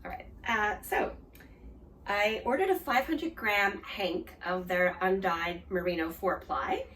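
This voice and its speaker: A woman in her forties with an Australian accent hosts a knitting podcast, chatting casually with lots of ums and restarts, her voice a little hoarse from a cold. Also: medium pitch, slow pace, a bit bright, recorded from a distance, a little hiss or hum, poor audio. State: slightly hoarse, recovering from a cold. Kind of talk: casual show-and-tell chat.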